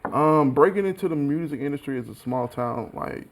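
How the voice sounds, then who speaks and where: Southern American man with a creaky voice. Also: fairly high pitch, expressive, normal speed, poor audio. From small town in Alabama, USA. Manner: informal, slangy, frequent "like" and "you know". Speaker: guest, recording engineer and mixer. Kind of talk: casual interview chat.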